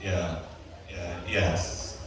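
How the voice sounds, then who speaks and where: A young man acting a courteous, self-assured, gently teasing young Nigerian student in an amateur stage play. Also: deep voice, flat delivery, very slow. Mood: polite. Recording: echoey hall from far off, music behind.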